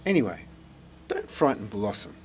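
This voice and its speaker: A man's voice: fairly low pitch, big pitch swings, moderate pace, a little mellow, slight background noise. A man voicing an earnest, polite young girl in a children's storybook. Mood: firm. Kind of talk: storybook character voice.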